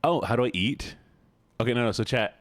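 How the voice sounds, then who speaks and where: A young man with a deep voice chatting casually with his stream audience, goofy and playful and often laughing. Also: fairly quick, dry recording. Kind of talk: casual livestream chat.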